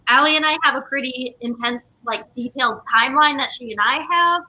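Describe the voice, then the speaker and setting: Very bright, high-voiced woman being interviewed. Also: fairly quick, low-quality recording. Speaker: interview guest, theatre company member. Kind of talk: casual interview chat.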